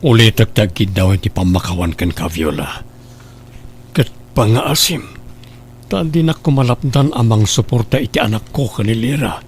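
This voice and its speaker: A man acting in a Filipino radio drama as a remorseful father, husky and halting, pleading and apologetic. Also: on the low side, animated, slight background noise, lightly acted. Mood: contrite.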